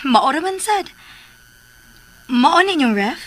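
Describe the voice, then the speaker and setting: Radio drama ingenue: young adult, uneasy and insistent, high, sharp-edged voice. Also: female voice, sing-song, slow, heavily acted, rough recording. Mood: hesitant, coy. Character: anxious, insistent young woman, cautious and demanding.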